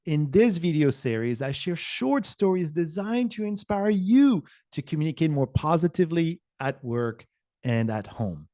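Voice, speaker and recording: A man from France, a communication professor, telling a short inspiring personal story with earnest, emphatic pauses. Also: a little high, sing-song, warm tone, no echo, studio quality.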